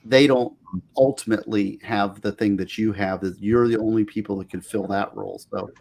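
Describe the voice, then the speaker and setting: A man's voice: lowish voice, fairly even intonation, brisk, a little mellow, a little hiss or hum. A man appearing as a lawyer guest on a casual video-call livestream, talking in a folksy, rambling way and giving earnest advice. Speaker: trial and appellate lawyer guest.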